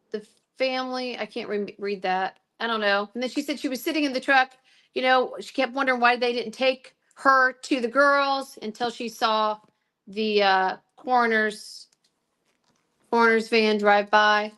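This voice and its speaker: A woman's voice: on the high side, fairly bright tone, clear, resonant tone. A woman co-hosting a casual chat, reading from her own notes with frequent stops and restarts. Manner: halting, many restarts while reading notes. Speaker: co-host recapping trial notes.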